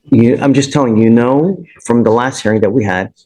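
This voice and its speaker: A man's voice: medium pitch, brisk, a crowd in the background, low-quality recording. A man presiding as a judge in a courtroom, questioning plainly and informally, sometimes repeating himself. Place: indoor courtroom. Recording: courtroom audio.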